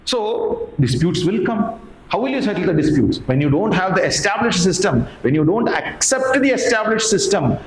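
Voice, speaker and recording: A man's voice: high-pitched, sing-song, normal speed. An Indian-accented man lecturing as an exam coaching teacher, emphatic, repeating phrases and asking questions that he answers himself. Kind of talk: explanatory lecture to camera. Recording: echoey room with background noise.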